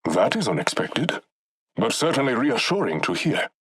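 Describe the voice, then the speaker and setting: An actor voicing a proud warrior king in a video game, with an African accent and a measured, dignified, formal manner. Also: medium pitch, sing-song, a bit bright, breathy edge, heavily acted. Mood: measured, regal.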